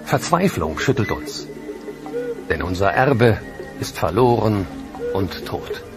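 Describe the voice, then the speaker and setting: An actor playing a grieving Aztec survivor, reading a solemn lament in a documentary. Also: male voice, fairly low pitch, very animated, takes it slowly, exaggerated voice. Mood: mournful. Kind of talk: dramatic reading of historical testimony. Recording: voice over loud music. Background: music.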